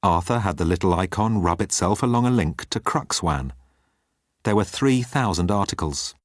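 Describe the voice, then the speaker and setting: A man with a low voice narrates a comic science fiction novel. The reading is scripted storytelling in a close, dry recording with no room sound. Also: expressive, moderate pace, dry recording. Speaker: audiobook narrator.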